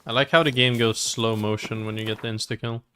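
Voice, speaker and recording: A man's voice: fairly low pitch, steady tone, fairly quick, sharp tone, slight background noise. A man streaming a video game with casual, off-the-cuff commentary. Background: game music and horse sounds.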